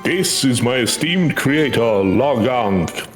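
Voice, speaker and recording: A man's voice: mid-range voice, animated, takes it slowly. A man voicing a courteous, earnest magical familiar in a video game, speaking formally and eloquently. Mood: courteous, composed. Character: courteous, earnest male magical familiar who hunts nightmares. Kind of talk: video game quest dialogue read aloud. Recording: echoey voice over game music.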